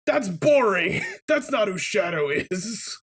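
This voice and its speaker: Higher-voiced man, casual and opinionated. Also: slow pace, slightly rough. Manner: animated, rambling, sweary. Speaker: let's player commentator. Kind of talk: casual opinionated game commentary chat.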